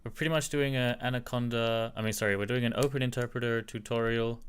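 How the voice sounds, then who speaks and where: A man with a slight American accent, chatting casually while teaching a live-streamed software tutorial and answering viewers. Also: medium pitch, fairly even intonation, fast, clear, resonant tone.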